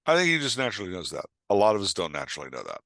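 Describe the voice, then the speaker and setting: A man's voice: low-pitched, wildly swooping pitch, racing, a bit bright, dry recording, studio quality. A middle-aged man speaking as an author and writing coach, explaining and telling stories conversationally with an emphatic, opinionated manner. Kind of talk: animated conversational explanation and storytelling.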